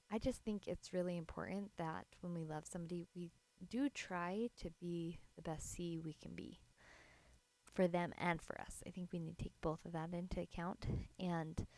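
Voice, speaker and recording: A woman hosting a podcast in an earnest, encouraging way, her voice raspy because it is early morning. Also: lowish voice, darkish tone, no echo. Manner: earnest, encouraging, laughs lightly. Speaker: podcast host. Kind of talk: casual solo podcast talk. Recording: dry close mic.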